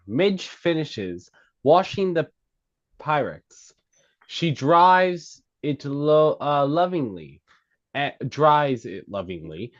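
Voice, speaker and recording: On a somewhat dull recording, a man reads scene directions from a script. He goes slowly and haltingly, with very varied intonation in a mid-range voice. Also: on the high side. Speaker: reader of stage directions. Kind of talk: scripted narration, present-tense screenplay directions.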